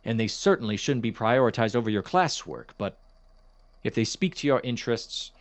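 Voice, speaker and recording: Audio drama academic, played by a man: male science professor, precise and kindly but cautious, expressive mid-pitched delivery, very dry sound. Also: moderate pace, dry recording, natural voice. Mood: encouraging. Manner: formal, precise, hesitant, gently reproving.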